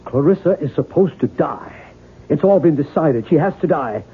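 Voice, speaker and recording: An actor playing a tormented, stubborn novelist in a 1970s radio drama, husky-voiced, intense and halting. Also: male voice, fairly high pitch, animated. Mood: agitated. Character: tormented, obsessive male novelist, stubborn and brooding. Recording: muffled, narrow-band vintage radio recording.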